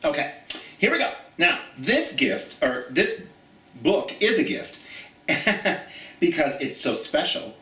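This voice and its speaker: A man hosting a children's storytime in a theatrical, folksy, chatty way, addressing listeners directly as in an expressive picture-book read-aloud. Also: fairly high pitch, takes it slowly. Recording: roomy echo, distant from the mic.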